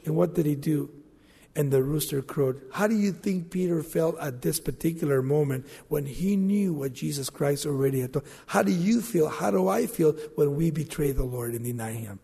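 A man's voice: a little high, creaky, dark tone, slightly rough. A male pastor preaching an evening sermon in a church, asking the congregation questions and building emphasis. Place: church sanctuary. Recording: long hall reverb.

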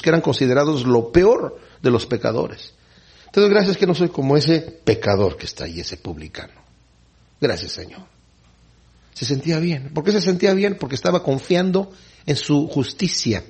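A man preaching a sermon, explaining scripture and briefly acting out the people he quotes. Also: slightly rough. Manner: explanatory, acts out quoted speakers with pitch swings.

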